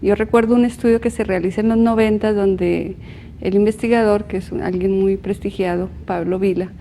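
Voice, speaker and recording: A woman with a northern Mexican accent explaining things as a panel guest in a measured, academic way. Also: medium pitch, fairly even intonation, dark tone, a little hiss or hum. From Chihuahua, northern Mexico. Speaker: panel guest, social researcher.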